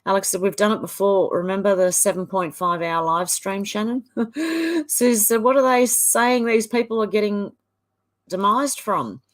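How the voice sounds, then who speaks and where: A woman's voice: mid-range voice, normal speed. A woman hosting a casual live stream, reading viewer comments aloud in a chatty run with small fillers. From Australia. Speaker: livestream host.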